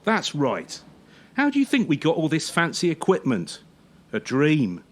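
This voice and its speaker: An actor playing a harsh former champion turned gymnastics coach in a radio play, scolding with sarcasm and pointed pauses. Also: medium pitch, huge pitch swings. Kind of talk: radio drama acting.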